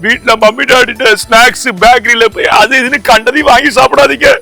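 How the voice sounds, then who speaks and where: A man's voice: extremely high pitch, steady tone, brisk, vocal fry, sharp tone, soft background music, exaggerated voice. A man playing a jolly old Christmas grandfather who hosts a children's show, chuckling and repeating his phrases playfully. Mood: jolly, excited.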